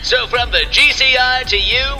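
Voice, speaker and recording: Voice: sits high, normal speed, bright, forceful tone. A performer playing a goofy, bumbling galactic propaganda radio co-host with bombastic, awkwardly self-correcting announcer delivery. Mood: officious announcing. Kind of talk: parody radio broadcast. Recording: long reverb with faint music.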